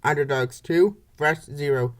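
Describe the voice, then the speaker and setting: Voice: mid-range voice, a little slow. A baseball play-by-play announcer reading scripted commentary for a comic dub in short, clipped phrases.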